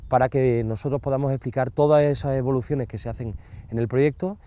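A man's voice: mid-range voice, steady tone, a little fast, dark tone. A man in his thirties with a Spain accent, speaking in an interview as a farming association delegate. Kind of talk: on-location interview. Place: outdoors in open farmland. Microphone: lapel.

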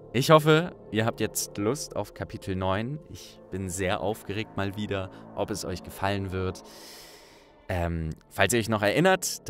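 A man hosting an audiobook project, speaking casually and directly to his listeners. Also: deep voice. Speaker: audiobook narrator and host. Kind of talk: casual thank-you address to listeners.

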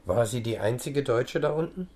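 An actor plays a male police investigator in a radio crime drama. He is blunt and businesslike. His curt, matter-of-fact phrases come in a mid-pitched voice. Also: animated, moderate pace, mellow, slight background noise, lightly acted.

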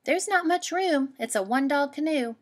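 A plucky young storybook girl, acted out by a woman, high and loud. She sounds exasperated and lists things in an emphatic sing-song. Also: animated, moderate pace. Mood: firm refusal.

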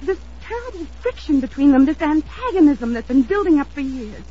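A woman's voice: high voice, big pitch swings, a little fast. An actress playing an anxious, insistent young woman in an old-time radio drama, speaking in an earnest, emphatic, dramatic manner. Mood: urgent, insistent. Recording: dull lo-fi vintage radio recording.

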